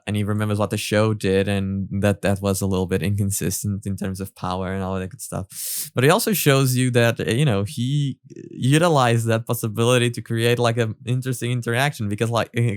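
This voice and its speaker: A man's voice: on the low side, dry recording, clean studio sound. A man giving casual, rambling, analytical commentary as he reacts to a parody cartoon.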